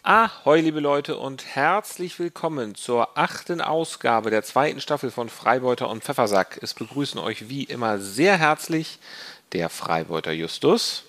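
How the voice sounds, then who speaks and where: Man, mid-pitched voice, podcast co-host, casual football chat, chatty with restarts. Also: animated, a little slow, fairly bright tone. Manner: chatty, many restarts and fillers.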